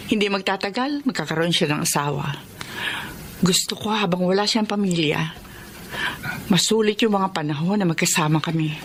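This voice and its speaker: A woman's voice: lowish voice, slight background noise, close to an everyday voice. An actress playing a protective, worried middle-aged mother in a Filipino radio drama, with an earnest, concerned delivery.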